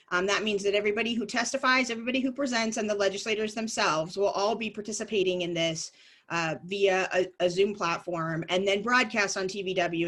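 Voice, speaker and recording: A woman lobbyist leading an informal online training, explaining things briskly with frequent ums and restarts, her voice worn down and a cough drop in her mouth. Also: medium pitch, a bit bright.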